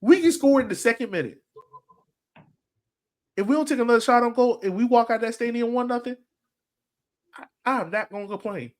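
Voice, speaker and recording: A podcast panelist in a casual, animated sports debate, arguing in slangy talk and repeating points emphatically. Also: medium pitch, fairly quick, pauses often.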